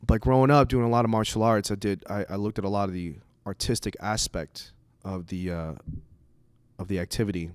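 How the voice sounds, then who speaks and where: Male comic, native Cantonese speaker from the US. Low voice, dry close recording, loose and sweary delivery. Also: fairly even intonation, a little fast, clean tone, dry recording. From United States. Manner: casual, profane. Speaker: stand-up comedian. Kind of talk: comedic monologue, casual and rambling.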